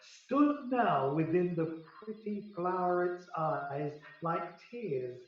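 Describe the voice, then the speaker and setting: An actor playing a lordly, scheming fairy king who declaims Shakespeare verse in a measured, stately way for radio. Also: high voice, animated. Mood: lordly, pitying. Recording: very echoey, distant, dull sound.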